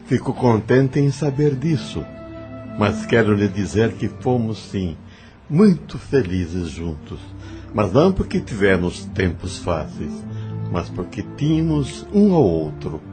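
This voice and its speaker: An actor plays a reassuring spirit guide in an audio drama. His voice is fairly low with animated melody, patient in manner, over faint music. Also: slow. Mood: gentle reassurance.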